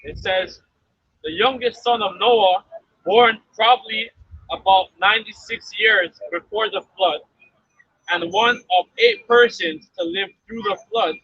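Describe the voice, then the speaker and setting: A man on a city street, reading aloud slowly and deliberately from a reference book for his group. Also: sits high, slightly rough, no echo, highs cut off.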